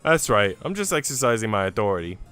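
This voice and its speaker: A cruel young lady of the house played by a man speaks with imperious, taunting swagger. The delivery is animated and clearly articulated, in visual novel style with music behind it. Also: lowish voice, fast talker, no room sound. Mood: threatening. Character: cruel, haughty young noble lady, smug bully.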